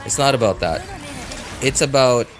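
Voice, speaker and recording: A man giving casual live game commentary as a streamer, rambling and halting, often breaking off mid-thought to react. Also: medium pitch, expressive, background noise.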